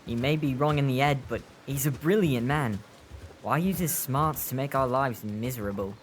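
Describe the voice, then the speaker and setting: A man's voice: medium pitch, moderate pace, a little hiss or hum, natural voice. A man playing an earnest, questioning, self-doubting youth who leads street kids in an audio drama. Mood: troubled.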